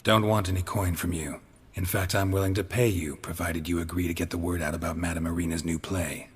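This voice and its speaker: An actor playing a stoic, gruff monster hunter in a video game, speaking in a terse, deadpan way. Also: male voice, sits low, brisk, long reverb tail. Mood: dry, businesslike.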